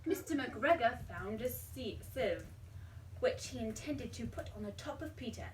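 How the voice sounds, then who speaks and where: A woman reading a children's storybook aloud in an expressive, dramatic way, pausing to put questions to a young child. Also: a little high. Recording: roomy, distant mic, faint background noise.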